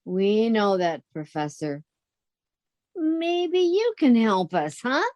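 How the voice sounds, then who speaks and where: A woman's voice: a little high, big pitch swings, slow, lo-fi sound. A woman playing a sensible, wisecracking, exasperated young roommate in a 1940s-style radio comedy. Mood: firm, exasperated.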